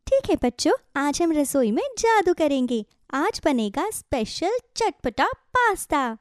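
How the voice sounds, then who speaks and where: A kind cartoon mother bird voiced by a woman, high and lively in pitch. She sounds cheerful and encouraging, recorded dry and close. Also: unhurried, dry recording. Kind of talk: Hindi animated children's story dialogue.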